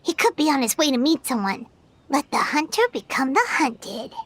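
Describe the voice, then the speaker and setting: A woman voicing a tiny, curious floating fairy girl with a squeaky, childlike voice in a video game. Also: animated, moderate pace, a bit bright. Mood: suspicious. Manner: speaks of herself in third person, exclaiming. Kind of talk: animated video game dialogue.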